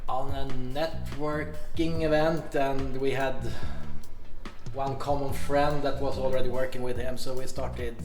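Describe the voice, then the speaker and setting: A man from Sweden with a Swedish accent, a contractor explaining a renovation in a relaxed, thoughtful way with light laughs. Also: medium pitch, unhurried, over music. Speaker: contractor and investor. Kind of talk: casual walkthrough explanation. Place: house under renovation, indoors. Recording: very echoey empty room.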